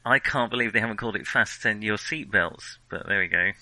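Man, film podcast co-host. Sharp, very bright low voice, dry sound, casual and opinionated delivery. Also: quick pace, no room sound, rough recording. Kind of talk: casual chat.

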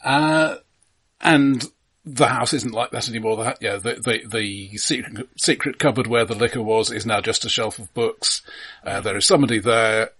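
A middle-aged man with a British accent talks casually about film. His voice has a husky edge at an average pitch, and he often doubles back on the first word or two of a phrase. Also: steady tone, a bit bright. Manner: halting, repeated word starts. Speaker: film podcast host.

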